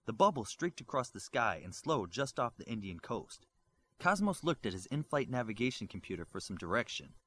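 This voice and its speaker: A man reading a superhero novel aloud as its narrator, with an expressive delivery. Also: medium pitch, fairly quick, slight background noise. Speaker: audiobook reader. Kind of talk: audiobook narration.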